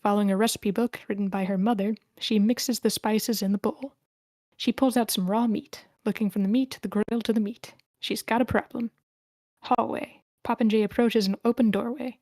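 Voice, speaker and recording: A woman's voice: mid-range voice, fairly even intonation, moderate pace, warm tone, no room sound. A woman reading stage directions as narrator, in scripted narration at a screenplay table read.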